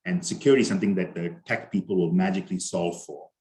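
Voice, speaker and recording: Indian-accented guy presenting at a conference over a video call, kinda low voice, lots of ums and restarts. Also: fast, a little mellow. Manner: conversational, many fillers and restarts. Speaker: conference speaker.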